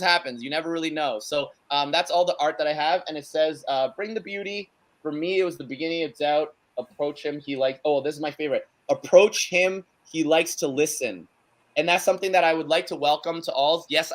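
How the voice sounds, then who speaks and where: Male guest, Cantonese first language, bright slightly high voice, eager rambling chat over video call. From Canada. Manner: enthusiastic, rambling with many fillers. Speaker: guest speaker. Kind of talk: casual chat. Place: home room.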